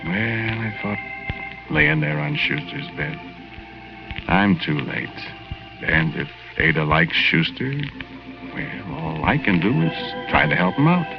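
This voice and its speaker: Low-voiced, folksy ghost on old radio. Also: male voice, very animated, slow, vocal fry, full character voice. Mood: wistful, reminiscing. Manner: folksy, ungrammatical, apologetic, rambling storyteller. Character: ghost of a murdered small-time guy, naive, sheepish, friendly. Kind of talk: 1940s radio drama acting, first-person narration. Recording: dull old 1940s radio recording with music.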